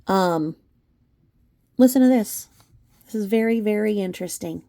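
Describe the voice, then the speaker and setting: A woman's voice: mid-range voice, unhurried, dark tone. A woman giving unscripted, scornful and emphatic opinion commentary as the host of her own channel.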